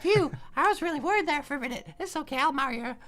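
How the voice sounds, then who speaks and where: An anxious young heroine of an anime game, voiced by a woman. She is flustered and melodramatic, with very high pitch and big melodic swings. Soft music sits behind her. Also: lively intonation, fast talker. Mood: relieved. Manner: dramatic, exclaiming, stammering. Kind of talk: visual novel read aloud in character.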